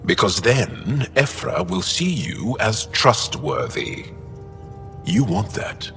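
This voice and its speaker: An actor playing a solemn, intense alien resistance fighter in a video game, speaking in a measured, deliberate, dramatic way. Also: male voice, fairly low pitch, expressive, a bit bright, soft background music, full character voice. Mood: firm. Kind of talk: scripted video game acting.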